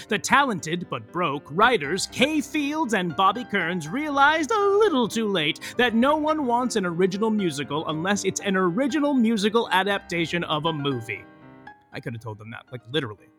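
An actor playing a theatrical, wry narrator of a comic serial, slipping from grand announcing into offhand asides. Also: male voice, high-pitched, big pitch swings, normal speed, pushed and bright, exaggerated voice. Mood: grandly theatrical. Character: theatrical, wry, self-aware male narrator of a Broadway saga. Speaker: narrator of a serial comedy podcast. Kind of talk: comic scripted narration addressed to listeners. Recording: faint music behind the voice.

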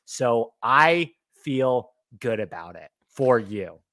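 A man's voice: mid-range voice, takes it slowly, dry recording, clean studio sound. A man co-hosting a golf betting podcast, talking through his picks in casual chat. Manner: animated, talks through picks with fillers. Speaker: podcast co-host, golf analyst.

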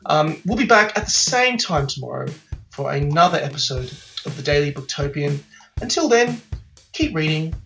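A man's voice: on the high side, sing-song, normal speed, vocal fry, fairly bright tone, soft background music, poor audio. A man hosting a podcast, upbeat and enthusiastic with frequent fillers. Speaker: podcast host. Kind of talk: casual podcast chat.

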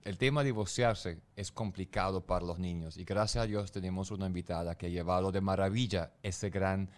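Man, podcast co-host, lowish voice, easygoing, jokey. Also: steady tone, a little fast. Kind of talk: casual podcast chat.

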